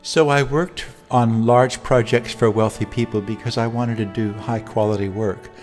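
Calm older man narrating over soft music. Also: medium pitch, moderate pace. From Alaska, United States. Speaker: home designer presenting his project. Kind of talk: scripted narration.

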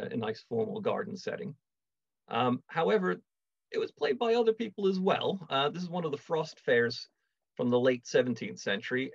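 A middle-aged man giving a measured online lecture on a video call, with wry asides. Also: mid-range voice, vocal fry, darkish tone, husky, no echo. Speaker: lecturer, archaeology project manager. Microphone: video call webcam. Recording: dull, dry video call audio.

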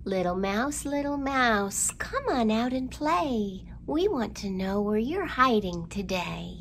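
A middle-aged woman hosting storytime for young children, with an expressive, sing-song delivery, recorded indoors a short way from the microphone. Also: fairly high pitch, slow pace, a little hiss or hum. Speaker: children's library storytime host. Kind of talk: storytime rhymes and picture book reading for young children. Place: indoors in a library room.

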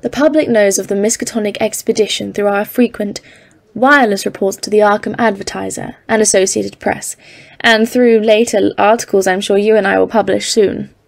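An earnest expedition scientist, played by a woman, speaks with a creaky edge. Her delivery is measured and literary, like a formal account read out in an audio drama. Her pitch sits in the middle for a woman. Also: steady tone. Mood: friendly, composed. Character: Evelyn, earnest woman geologist leading polar expedition. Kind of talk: audio drama narration and dialogue.

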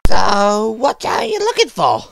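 A woman voicing an excitable, childlike animatronic character with a creaky voice in a cartoonish comic dub. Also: medium pitch, a little slow, exaggerated voice. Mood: excited, chatty.

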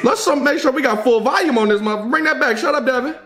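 A young American man hosting a reaction video, loud, energetic and profane, talking straight to his viewers in a small indoor room. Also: very high voice, rapid-fire, over loud music. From United States. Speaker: YouTube reaction host. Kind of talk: hyped reaction video talk.

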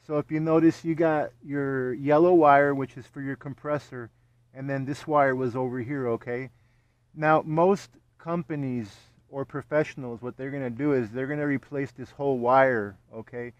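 A man's voice: fairly even intonation, normal speed, no echo. A man working as an HVAC technician, explaining a repair step by step and checking in with "okay?" after each point, recorded on a body-worn camera in an attic and then in a backyard. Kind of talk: hands-on repair tutorial. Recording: dull, dry, low-fidelity sound.

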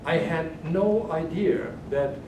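A man's voice: a little high, takes it slowly, darkish tone. An older man from Germany giving a keynote lecture to a conference audience, speaking hesitantly with many restarts and fillers. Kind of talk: conference lecture answering questions. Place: large carpeted conference room. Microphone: far room camera. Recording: echoey hall from far off, noisy.

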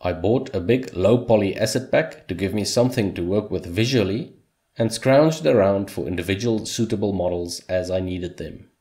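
Male voice-over host, native Afrikaans speaker, slightly low register, steady scripted delivery with dry humor. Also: normal speed. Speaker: hobby game developer, channel host.

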